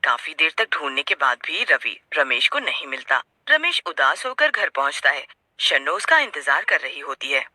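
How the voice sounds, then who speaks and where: A woman narrates a children's story in scripted style. Her voice is sharp and bright with animated pitch movement, recorded very dry. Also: normal speed, dry recording.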